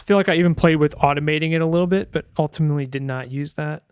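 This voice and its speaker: Casual tutorial man, slightly high voice, noisy recording. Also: quick pace. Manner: informal, thinking aloud while demonstrating. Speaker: sound design tutorial presenter. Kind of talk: casual screen-share software tutorial.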